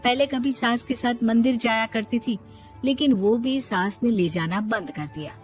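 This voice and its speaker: A woman's voice: on the high side, normal speed, soft background music. A woman telling a scripted moral story as its narrator.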